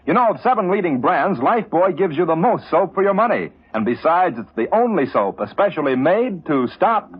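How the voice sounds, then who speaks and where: A man's voice: on the high side, sing-song, brisk, few pauses, husky. A man delivering a scripted 1940s radio commercial as an announcer. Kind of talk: 1940s radio advertising pitch. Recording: dull old radio recording.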